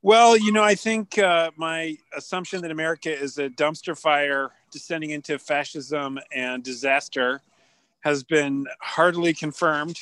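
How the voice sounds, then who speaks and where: A man joins a podcast as a guest and talks politics with a sardonic, cynical edge. His voice sits slightly higher than most men's. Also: fairly even intonation, unhurried, fairly bright tone, smooth, no echo. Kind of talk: casual political chat.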